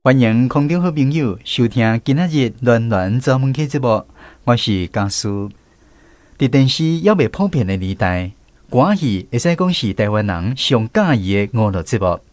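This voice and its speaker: A man's voice: mid-range voice, animated, normal speed, darkish tone, no room sound. A man with a Taiwanese accent hosting a radio programme and narrating a scripted story. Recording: music playing behind the voice.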